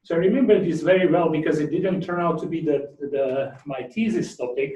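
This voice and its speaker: A man's voice: a little high, fairly quick, mellow, dull audio. Distant, echoey middle-aged Slovak-accented man lecturing. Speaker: academic speaker, researcher. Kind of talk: informal conference talk with reminiscences. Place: indoor lecture room at a workshop. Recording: echoey room, distant microphone.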